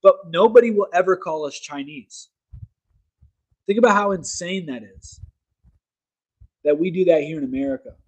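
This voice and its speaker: A man's voice: on the high side, normal speed, long pauses, darkish tone, rough recording. A man hosting a casual opinion livestream, talking emphatically and rambling, correcting himself mid-sentence. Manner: reads articles aloud to viewers. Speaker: political livestream host.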